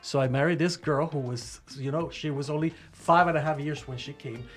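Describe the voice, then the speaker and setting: An older man, born in Cuba, chats on a radio show in a slightly high voice. He gets animated and restarts his sentences often, with faint music playing behind him. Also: a little fast. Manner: animated, restarts often, rising when stirred. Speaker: co-host. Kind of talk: casual radio chat.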